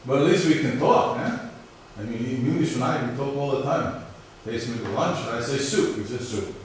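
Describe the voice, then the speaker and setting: A man's voice: medium pitch, normal speed. Middle-aged male professor, New York accent, chatty lecture style, asks the class questions, recorded far off in a very echoey room. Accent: New York area American. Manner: conversational, questions the class and answers himself. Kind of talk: university lecture. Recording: very echoey room, mic far off, faint hiss.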